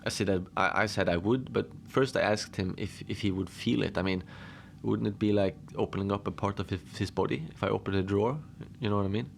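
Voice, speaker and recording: A man playing a deadpan lone adventurer who tells his odd tales slowly and conversationally, rambling with halting asides, in a cozy horror audio drama. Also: on the low side, gravelly, slight background noise. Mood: deadpan, musing.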